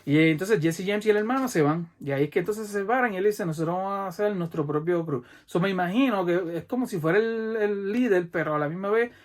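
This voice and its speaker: A man in his forties with a Puerto Rican accent, excitedly retelling a movie in a casual vlog, acting out gunshots with mouth sounds. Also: high voice, fast talker, hardly any pauses. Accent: Puerto Rican Spanish. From Puerto Rico. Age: 40s. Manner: animated, imitates gunshots and action with mouth sounds. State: sweaty from the heat. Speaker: vlogger reviewing a film.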